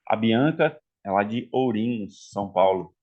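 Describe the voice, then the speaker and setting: A man's voice: lowish voice, expressive, unhurried, lo-fi sound. A man from Minas Gerais with a mineiro Portuguese accent, teaching a chatty live online class for therapists and checking in with the audience often. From Minas Gerais, Brazil. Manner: chatty, addresses audience as "galera", frequent "tá?" and "né?". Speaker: online course instructor.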